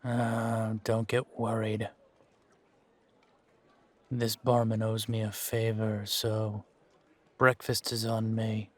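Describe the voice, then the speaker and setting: A man playing a gruff, world-weary fantasy monster hunter in an audio roleplay, wry and aloof, with a laconic, deadpan way of talking. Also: fairly low pitch, slow pace, pauses often. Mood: casual, reassuring. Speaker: voice actor in fan-made audio roleplay. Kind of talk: slow in-character audio roleplay.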